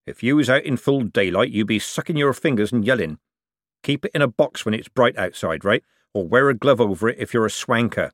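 A man's voice: medium pitch, lively intonation, brisk, a bit bright, no room sound, clean studio sound, lightly acted. A man voicing a greedy, sly old male craftsman who growls in coarse working-class speech. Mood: gruff.

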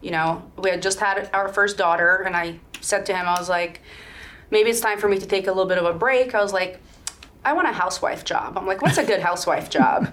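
A woman in her thirties, from Sweden, chatting as a podcast guest. Her voice sits at an ordinary mid pitch, and her talk is frank and upbeat. She laughs easily as she speaks. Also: brisk, a bit bright. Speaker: podcast guest, real estate broker. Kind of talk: casual interview chat.

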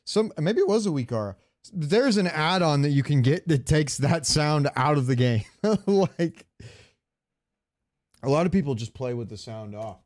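A man's voice: on the high side, expressive, normal speed, a little mellow. Casual, slangy man co-hosting a podcast. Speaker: podcast co-host. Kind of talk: casual gaming banter and debate.

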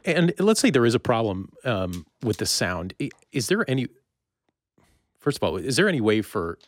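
A man hosting a casual interview, asking questions in a conversational, somewhat halting way. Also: mid-range voice, sing-song. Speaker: interview host.

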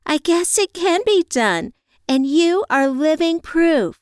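Woman playing excitable, gushing female friend, pitched up. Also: slow, no room sound, clean studio sound, full character voice. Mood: excited.

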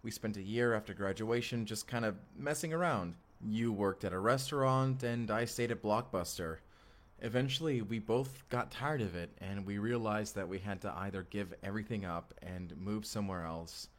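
A man's voice: fairly low pitch, close to an everyday voice. A young man acting a goofy, affectionate husband from the future in an intimate audio drama, speaking casually and hesitantly straight to the listener. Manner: casual, hesitant, sighing, talks directly to listener. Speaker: actor in audio roleplay. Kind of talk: first-person immersive audio roleplay, intimate conversational acting.

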